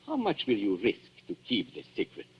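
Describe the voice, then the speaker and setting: A suave villain of a 1940s radio thriller, who swoops up and down in pitch as he mocks his victims with elaborate courtesy. The recording is old and muffled. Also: huge pitch swings, brisk, a little hiss or hum. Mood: taunting. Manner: smooth, mocking, theatrically polite. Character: suave, sneering male blackmailer posing as antique dealer.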